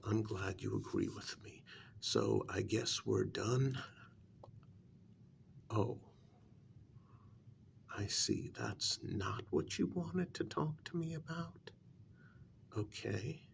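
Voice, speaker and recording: Man playing a vampire court confidant, soft ASMR role-play, heavy vocal fry, lowish pitch, whispery dips, measured and hesitant. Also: animated, exaggerated voice. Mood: hesitant, wary. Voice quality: soft, breathy voice dropping into whispers. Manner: hesitant, measured, gently reasoning.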